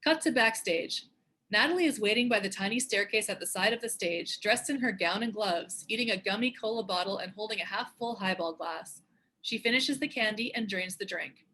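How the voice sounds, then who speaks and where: A woman's voice: medium pitch, fairly quick, sharp tone, a little hiss or hum. A woman reading screenplay stage directions as scripted narration.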